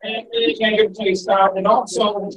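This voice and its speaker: A man, a pastor and guest speaker, opening his sermon loudly and exhorting the congregation to praise. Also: sits high, flat delivery, measured pace. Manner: loud, exhorting. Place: church sanctuary at a pulpit. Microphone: far camera, speaking without mic. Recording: echoey church from far off.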